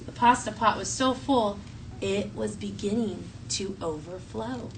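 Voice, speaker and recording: A woman teacher reading a story aloud to children in an expressive, clearly phrased way and talking to the class. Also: mid-range voice, unhurried. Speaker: elementary school teacher. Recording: distant mic, faint classroom noise.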